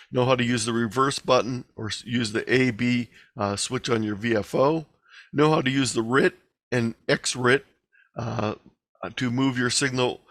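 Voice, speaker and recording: A man's voice: medium pitch, a bit bright, no room sound, clean studio sound. A man giving an explanatory online lecture over a video call, plain-spoken and instructional, going step by step. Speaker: instructor, presenter. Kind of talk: explanatory slide lecture, lightly extemporized. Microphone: video call microphone.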